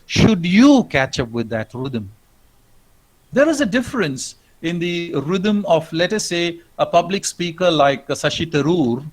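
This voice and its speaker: Reflective Indian man lecturing, slightly high voice. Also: poor audio. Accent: Indian English. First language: not English. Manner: reflective, with frequent "um" and "uh" pauses. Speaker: professor.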